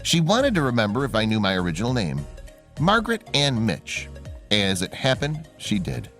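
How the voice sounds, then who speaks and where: A man reading a scripted human-interest story in a measured storytelling delivery. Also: medium pitch, wildly swooping pitch, fairly bright tone, faint music behind. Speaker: narrator.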